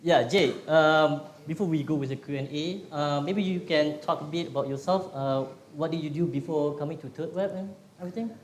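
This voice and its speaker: A man's voice: a little high, fairly quick, hardly any pauses, slight background noise. A man moderating a Q&A at a tech meetup, prompting the guest and the audience in a friendly, upbeat way. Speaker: event moderator. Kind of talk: hosting a Q&A. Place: meetup event room. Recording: echoey room.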